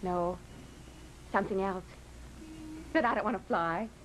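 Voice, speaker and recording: A slightly noisy vintage radio recording of a woman acting a devoted wife. Her voice is mid-pitched, with a tender, coaxing and intimate delivery in old radio drama style. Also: a little slow, lightly acted. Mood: playful, intimate. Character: devoted, romantic wife of a gambler.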